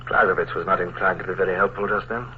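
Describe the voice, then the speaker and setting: An actor playing a steady, commanding naval commodore who also narrates, in 1940s radio drama style. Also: male voice, low voice, lightly acted. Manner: authoritative, measured narration. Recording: tinny old radio broadcast.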